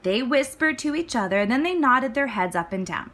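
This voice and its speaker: A woman reading a children's story aloud as scripted narration, with clear, expressive phrasing. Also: sits high, fairly quick. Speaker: storybook reader.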